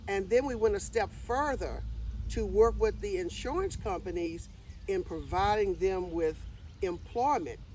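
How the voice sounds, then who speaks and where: A woman's voice: medium pitch, a little mellow. An older woman speaks as an interview guest, giving a personal account in a conversational style. The recording is outdoors, with faint noise in the background. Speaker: interview guest and event organizer. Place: outdoors on an open lot.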